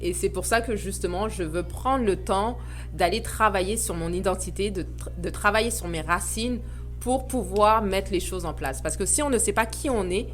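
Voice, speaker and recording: A woman talks through her thoughts in an earnest, reflective way, often pausing to search for words. Her voice sits at a middle pitch, and faint music plays in the background. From Paris suburbs, France. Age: 30s. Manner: earnest and reflective, frequent hesitations. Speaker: guest, association founder. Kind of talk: podcast interview, personal reflection.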